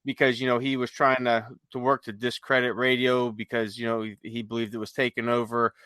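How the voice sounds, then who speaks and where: A man hosting a casual podcast, explaining things in a halting, conversational way. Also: mid-range voice, level tone, a bit bright, clean tone, no room sound. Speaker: podcast host. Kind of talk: casual panel chat.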